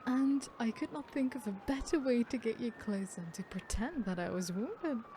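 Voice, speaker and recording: A woman playing a sadistic, smug female serial killer in an ASMR horror roleplay, theatrical and unhurried, often dropping into breathy whispers. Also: a little high, expressive, warm tone, a little hiss or hum, natural voice. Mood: smug, taunting.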